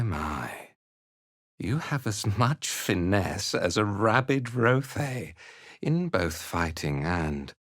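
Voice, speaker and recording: A haughty, cold cult commander from a video game. The delivery is slow and condescending, with formal phrasing and a wide, expressive swing in pitch. The sound is dry and close. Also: breathy edge, dry recording, heavily acted. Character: haughty, cold cult commander, disdainful slave-driver and zealot. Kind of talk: video game character dialogue.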